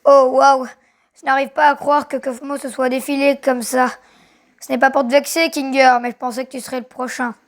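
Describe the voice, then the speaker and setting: An anxious circus character from a cartoon, voiced by a woman, talks in a high voice. The manner is blunt and jittery, as in an amateur dub. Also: little pitch movement, moderate pace, lightly acted. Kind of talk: fan dub cartoon acting.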